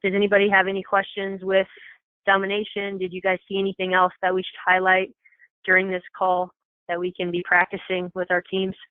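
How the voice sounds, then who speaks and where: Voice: mid-range voice, monotone, fairly quick. A program coordinator giving an informal, matter-of-fact, explanatory briefing to coaches over a telephone conference call. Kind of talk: informal meeting presentation. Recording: tinny, echoey telephone conference line.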